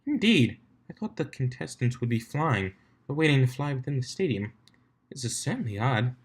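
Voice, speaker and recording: A man's voice: mid-range voice, sing-song, moderate pace, slight background noise, pitched above the performer's own voice, natural voice. A man voicing a refined, ladylike female cartoon unicorn with a genteel, poised manner in a fan story reading. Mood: puzzled.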